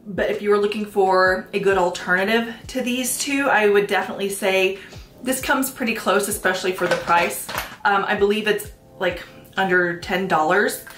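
A woman in her thirties, a bit stuffy and sniffly, talks easily through her makeup routine at a middle pitch. She fills the gaps with loose ums and likes. The room gives her voice a noticeable echo, with soft music underneath. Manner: chatty, relaxed, with frequent ums and likes. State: congested from allergies, sniffling. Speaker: beauty vlogger. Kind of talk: casual makeup tutorial talk. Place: small room at home, plain backdrop. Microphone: camera at head-and-shoulders framing.

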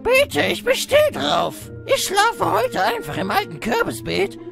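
A woman voicing an excitable, kindly creature trainer in a cartoon fandub, theatrical and exclamatory. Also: extremely high pitch, measured pace, creaky, over music, exaggerated voice. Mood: friendly.

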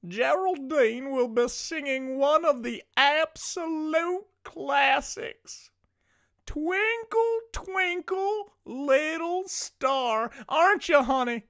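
A woman's voice: high voice, animated, extremely slow, fairly bright tone, exaggerated voice. A woman playing a gushing, sugary stage mother. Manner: effusive, sugary, calls her child pet names. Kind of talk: comedy sketch acting.

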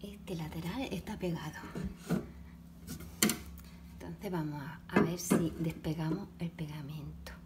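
Brief: lower-pitched woman explaining a DIY build while working, halting, echoey home room with noise. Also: steady tone. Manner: halting, talks while working, frequent restarts and soft asides. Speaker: DIY video maker. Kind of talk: step-by-step craft demonstration. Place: home kitchen, indoors.

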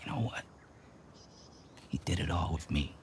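Smug treasure-hunting game villain, American, low and animated, taunting and sarcastic, outdoor ambience behind. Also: male voice, normal speed, a little hiss or hum. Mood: taunting, gleeful. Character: smug, mocking male villain, rich treasure hunter. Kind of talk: video game cutscene acting.

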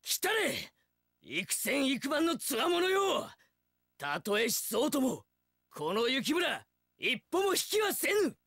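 A fiercely loyal young samurai spearman in a video game. His voice is very high for a man and forceful, with a raspy edge, and he makes archaic warrior declarations with wildly swinging pitch. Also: wildly swooping pitch, takes it slowly, no room sound, clean studio sound, full character voice. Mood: fervent, battle-ready. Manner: archaic formal warrior speech, bellowed declarations. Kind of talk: video game battle voice lines.

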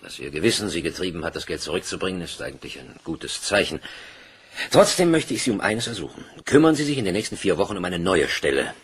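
Very raspy, stern old bank boss. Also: male voice, low voice, big pitch swings, quick pace, fairly bright tone, full character voice. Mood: stern, controlled. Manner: curt, authoritative. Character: stern, exasperated older bank director, gruff authority. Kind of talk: German crime radio play acting.

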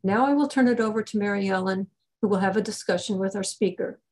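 A woman's voice: medium pitch, fast talker, a little mellow. Older woman, scripted event welcome, organization president introducing a webinar, video call.

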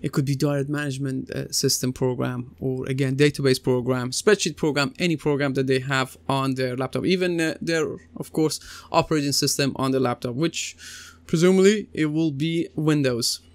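A man's voice: mid-range voice, steady tone, normal speed, hardly any pauses, no room sound. A man in his thirties giving a recorded computing lesson over slides, talking conversationally with many fillers and restarts. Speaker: computing teacher. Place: small indoor room, seated at desk.

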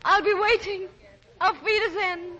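A woman's voice: very high voice, steady tone, moderate pace, pushed and bright. An actress playing an ailing, lovesick young woman in an emotional 1940s radio melodrama. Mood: tender, brave. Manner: emotional, theatrical. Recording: muffled 1940s radio recording, crowd behind.

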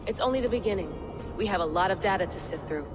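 An actress playing a blunt, determined modern heroine who swears, in a video game cutscene. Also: female voice, medium pitch, expressive, fast talker, lightly acted. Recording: game audio with music behind.

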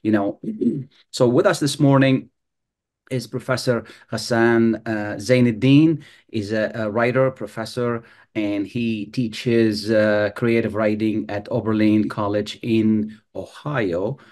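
A man's voice: fairly low pitch, steady tone, takes it slowly, darkish tone. A middle-aged man hosting a radio interview show, talking conversationally with frequent restarts, on a webcam. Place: studio with video backdrop.

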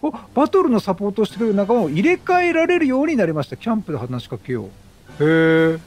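High-pitched, animated male gameplay commentator. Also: quick pace. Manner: animated, talks to himself and the characters. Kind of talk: chatty game commentary.

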